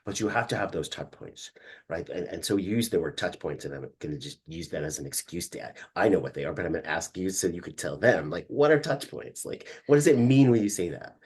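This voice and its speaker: A man hosting a conversation, animated and quick to restart his sentences with repeated words. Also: deep voice, breathy edge. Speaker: podcast host and trainer. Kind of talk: conversational interview.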